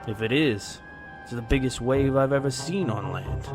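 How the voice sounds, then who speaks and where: A man plays a steady airline captain in an audio drama. His medium-pitched voice is grave and clipped in formal phrasing, with clear music underneath. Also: moderate pace, close to an everyday voice. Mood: grave, urgent. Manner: terse, formal radio procedure. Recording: music behind the voice.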